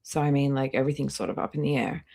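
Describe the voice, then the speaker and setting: A woman's voice: rumbling low, little pitch movement, very fast, mellow. A woman hosting a live stream with casual reaction commentary, speaking in a blunt, skeptical way and swearing freely.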